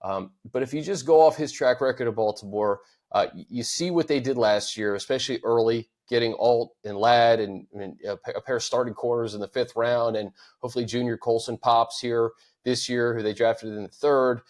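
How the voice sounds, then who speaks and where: A man's voice: medium pitch, fairly quick, hardly any pauses, darkish tone. A middle-aged man hosting a sports podcast, talking thoughtfully in a casual chat with frequent restarts and repeated words. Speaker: podcast host.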